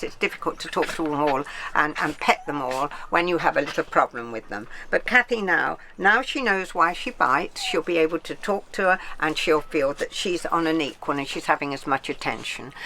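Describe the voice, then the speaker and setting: A woman's voice: low-pitched, pushed and bright, a little hiss or hum, low-quality recording. A woman talking casually and chattily as a pet psychic host, explaining things and checking in with the people around her. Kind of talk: casual explanatory talk to camera and client.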